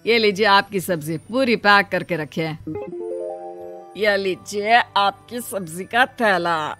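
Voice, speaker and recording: A woman's voice: medium pitch, slow pace, sharp tone, soft background music. A woman voicing an obliging village shopkeeper in a cartoon moral story, with casual, familiar sales patter. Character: obliging, chatty male village vegetable and grain seller.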